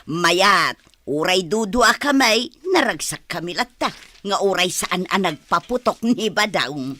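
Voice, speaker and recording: A woman's voice: fairly low pitch, animated, a little slow, sharp tone, no echo, exaggerated voice. A woman playing an easygoing, sociable village neighbour, chatting in a radio drama. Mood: chatty.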